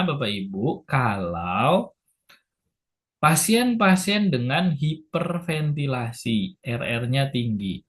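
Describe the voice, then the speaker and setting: A man gives a lecture at an unhurried, deliberate pace. His voice sits fairly high for a man. He has a sore throat and repeats his key points patiently. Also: fairly bright tone. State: sore throat, clears throat and hiccups. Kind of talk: lecture to health workers.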